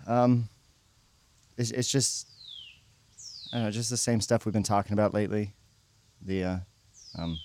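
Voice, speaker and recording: Guy playing troubled young church kid, lowish voice, stammery and hesitant, audio drama, birds and noise behind. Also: fairly even intonation, moderate pace, pauses often, a little mellow, natural voice. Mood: nervous, hesitant. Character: troubled, guilt-ridden young churchgoing man confessing. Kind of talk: naturalistic audio drama acting.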